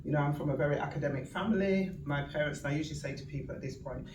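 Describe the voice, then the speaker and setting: A woman's voice: deep voice, very fast, noisy background. Chatty Mancunian woman speaking in an echoey hall. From Manchester, England. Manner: chatty and humorous, laughs often. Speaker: guest speaker, community activist. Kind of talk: storytelling speech at an event. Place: event venue with an audience. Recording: echoey room, distant mic, ambience.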